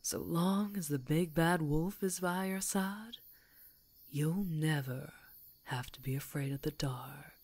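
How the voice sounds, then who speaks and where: A woman playing a female werewolf in an intimate ASMR roleplay, predatory yet tender, coaxing in a breathy voice that often drops to whispers. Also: deep voice, takes it slowly, darkish tone, heavily acted. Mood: affectionate, playful.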